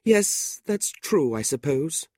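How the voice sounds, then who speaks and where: Guy doing a sad genteel widow for an audiobook, high plaintive voice, complains a lot, very dry sound. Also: big pitch swings, slow pace, dark tone, dry recording, full character voice. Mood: complaining. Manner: plaintive, lifted high above narrator's voice. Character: sorrowful, complaining middle-aged widow, genteel mother.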